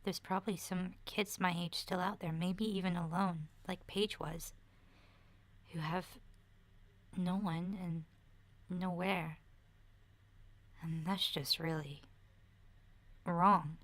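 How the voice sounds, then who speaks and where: A woman voicing a chatty, candid young girl surviving a zombie apocalypse in a soft, breathy, half-whispered ASMR roleplay, talking in a hesitant, rambling way. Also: lowish voice, fairly even intonation, slow, long pauses. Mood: sad, earnest. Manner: hesitant, rambling, youthful casual phrasing.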